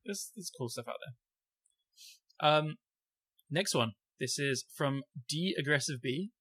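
A man's voice: on the high side, expressive, measured pace, a bit bright, slightly rough. A man chatting casually as a podcast co-host, in a loose, slangy, enthusiastic way. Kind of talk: casual chat.

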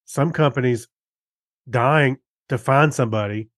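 A man's voice: mid-range voice, a little slow, dry recording, studio quality. A man co-hosting a podcast, chatting casually in a slightly hesitant, conversational way. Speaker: podcast co-host. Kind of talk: casual chat.

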